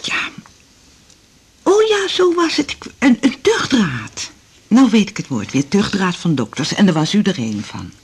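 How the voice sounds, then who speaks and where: Chatty, naive woman patient, radio drama: husky, animated intonation, rambling, mildly complaining. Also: medium pitch, measured pace, heavily acted. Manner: rambling, matter-of-fact complaining.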